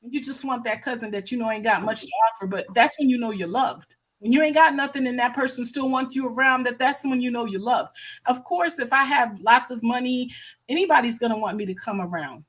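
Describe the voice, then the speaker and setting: High-voiced American woman, emphatic commentary. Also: fairly quick, a bit bright. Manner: emphatic, repeats phrases for stress. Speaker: livestream host. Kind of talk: casual impassioned commentary.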